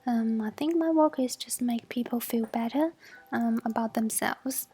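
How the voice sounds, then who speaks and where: A young woman in her twenties, a native Mandarin speaker with a Chinese accent, presents her work from home for an assessment. She speaks haltingly, with many fillers, and drops to a soft whisper at times. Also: on the high side, husky, faint music behind. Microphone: basic home equipment.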